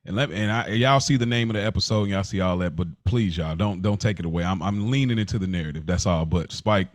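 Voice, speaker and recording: An American man co-hosting a podcast in casual talk, earnest and halting, repeating himself for emphasis. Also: low-pitched, no room sound, studio quality. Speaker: podcast co-host. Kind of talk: casual chat.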